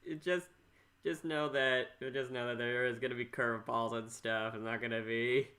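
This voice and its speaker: A man with a bright, forceful voice hosts a casual podcast. He speaks at a middle pitch, stumbling over phrase openings and starting them again as he chats. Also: little pitch movement, moderate pace. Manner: stammering, repeats phrase starts. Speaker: podcast host. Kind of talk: casual interview chat.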